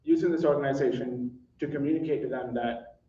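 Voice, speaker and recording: A man's voice: medium pitch, a little fast, dark tone. A man from Sri Lanka speaking bluntly and emphatically as a participant in an informal community meeting. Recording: muffled distant mic, echoey meeting room.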